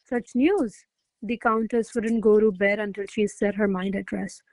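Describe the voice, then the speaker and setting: A woman playing a devoted, excitable young lady-in-waiting in love, reciting effusive romantic verse in a classical radio play. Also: medium pitch, a little slow, no room sound, natural voice, low-quality recording. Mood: elated, adoring.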